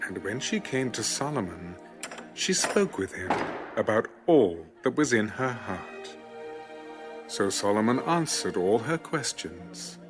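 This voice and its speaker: A man with a low voice narrates scripture in a dramatic, scripted style. His intonation is highly expressive, and music plays behind him. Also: a little slow. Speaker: audio Bible narrator. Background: background music.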